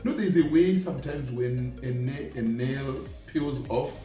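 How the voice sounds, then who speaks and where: Someone acting a friendly mentor, animated explaining, informal n emphatic, echoey and a bit distant, faint music. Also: mid-range voice, unhurried, lightly acted. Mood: amused, instructive. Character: friendly adult mentor, encouraging, teaching through homely examples. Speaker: mentor and public speaker. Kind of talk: dramatized educational conversation, conversational teaching.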